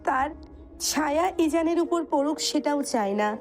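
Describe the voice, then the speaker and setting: Lady narrating audio love story, high pitched, scripted dramatic reading, light music behind. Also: lively intonation, unhurried.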